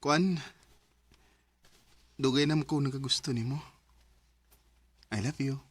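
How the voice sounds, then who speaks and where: A man's voice: medium pitch, slow, breathy edge, dry recording. An actor playing a shy, earnest young man confessing his love in a radio drama. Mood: nervous. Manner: nervous, bashful confessing. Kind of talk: Visayan radio drama acting.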